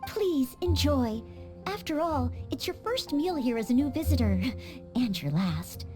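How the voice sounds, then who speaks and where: A woman's voice: high voice, very animated, a little slow, darkish tone, soft background music. A woman voicing a polite, earnest young maid knight in a game, courteous and eager to serve.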